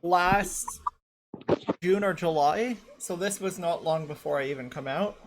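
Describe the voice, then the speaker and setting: A woman's voice: fairly low pitch, a little slow, a little hiss or hum, poor audio. A woman hosting a casual podcast panel chat, rambling and repeating herself as she talks. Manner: rambling, repeats herself while searching for photos. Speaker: podcast host.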